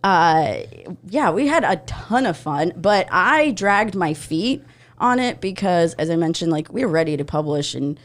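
A woman's voice: fairly low pitch, lively intonation, unhurried. A woman in her thirties from Ukraine, a comedian guest telling stories in a podcast studio, chatty with lots of fillers and laughs. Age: 30s. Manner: chatty, laughs often, many fillers and restarts. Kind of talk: casual storytelling chat.

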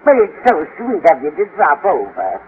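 A woman's voice: deep voice, huge pitch swings, moderate pace. An actress playing a sweet, flustered older lady in a fluttery, genteel manner in a 1950s radio sitcom. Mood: scatterbrained, sweet. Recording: noisy muffled old radio recording.

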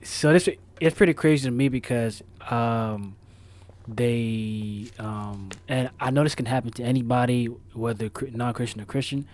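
A man's voice: mid-range voice, moderate pace, a little mellow. A man co-hosting a podcast in casual chat, rambling with repeated words and slang. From Florida. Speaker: podcast co-host.

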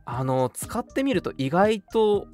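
A man's voice: sits high, unhurried. A young adult man co-hosting a radio show in casual chat, an agreeable listener who often chimes in. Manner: animated, agreeable listener with repeated backchannels. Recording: background music behind the talk.

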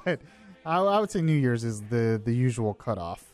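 A man's voice: mid-range voice, very animated, normal speed, darkish tone, soft background music. A man filling in as host of a morning radio show, chatting casually and laughing often. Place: radio studio. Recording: dry studio mic.

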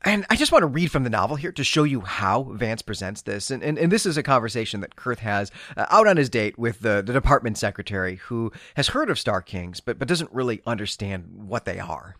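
A man's voice: medium pitch, very animated, fairly quick, few pauses, a bit bright, no echo, clean studio sound. A man hosting a podcast, explaining and discussing books in an articulate, scholarly way. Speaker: podcast host. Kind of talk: literary discussion and book recap.

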